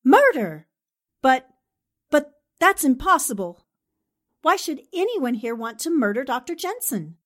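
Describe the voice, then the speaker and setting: A woman performs an insistent newspaperwoman from an old radio drama. Her voice is high and swoops dramatically as she questions people. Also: very animated, a little slow, long pauses. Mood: shocked. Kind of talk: 1940s radio drama acting.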